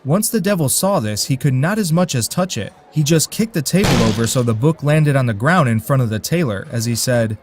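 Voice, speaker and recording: A man's voice: medium pitch, expressive, a little fast, few pauses, darkish tone, a little hiss or hum. A man narrating a folk tale in a dry, wry way, with asides to his listeners. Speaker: storyteller.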